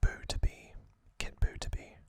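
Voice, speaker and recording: A man hosting ASMR, narrating in hushed whispers with frequent pauses and a gentle, reassuring manner. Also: dry recording. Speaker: ASMR host.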